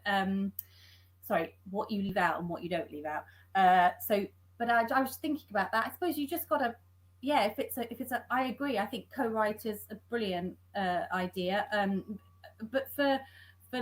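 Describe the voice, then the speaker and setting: A woman's voice: mid-range voice, rough recording. A woman chatting as a panel guest about her work, tentative and often correcting herself. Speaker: scriptwriter on a panel. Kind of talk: casual panel talk.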